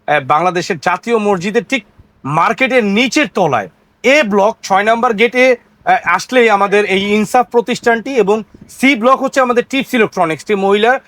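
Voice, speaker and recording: A Bangladeshi-accented man giving an informal, talkative shopkeeper's sales pitch, repeating himself and dropping in English words. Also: normal speed, a bit bright, no echo. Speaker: shopkeeper selling telephones. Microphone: close microphone.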